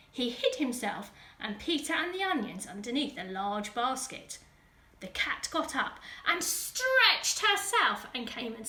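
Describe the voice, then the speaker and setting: A woman's voice: high-pitched, pushed and bright. A woman with an English accent reading a children's story expressively, with dramatic emphasis for young listeners. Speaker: school teaching assistant reading a story. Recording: roomy echo, distant microphone.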